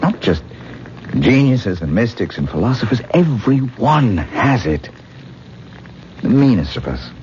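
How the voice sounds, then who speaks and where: A dull, slightly noisy vintage radio drama sound carries an intense mystic stage star. His deep voice is theatrical and grandiloquent, sweeping widely in pitch. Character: intense, mystical great stage actor, convinced of psychic powers. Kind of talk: 1970s radio drama acting. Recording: muffled, noisy 1970s radio broadcast.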